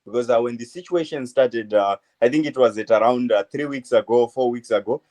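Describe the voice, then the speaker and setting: A man in his twenties from Zimbabwe, a medical student answering interview questions in a halting way, full of uh fillers. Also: mid-range voice, darkish tone. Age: 20s. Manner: hesitant, many uh fillers, halting phrases. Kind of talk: phone interview.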